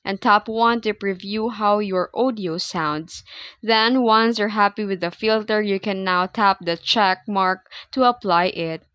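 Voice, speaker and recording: A woman presenting a scripted step-by-step tutorial in a clear instructional manner. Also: medium pitch, unhurried, fairly bright tone, no room sound.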